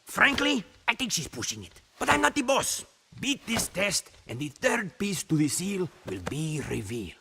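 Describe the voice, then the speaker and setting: A man voicing a snooty, theatrical talking bird interpreter in a video game, squawky and gravelly, flipping from grandiose prophecy to sassy quips. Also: high-pitched, sing-song, normal speed, background noise, full character voice. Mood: wry, theatrical. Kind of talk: animated video game cutscene acting.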